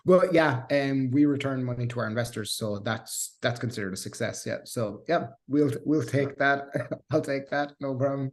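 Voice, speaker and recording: A man's voice: medium pitch, moderate pace. A man in his thirties with a Cork Irish accent, a guest in a casual interview who rambles with restarts and fillers. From Ireland. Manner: rambling, many restarts and fillers, self-deprecating laughs. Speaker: guest, software engineer.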